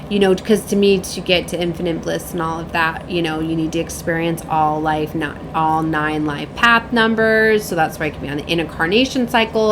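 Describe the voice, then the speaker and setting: A woman's voice: on the low side, noisy background. A woman in her late thirties giving a casual, rambling spiritual reading as a tarot reader, with an emphatic manner.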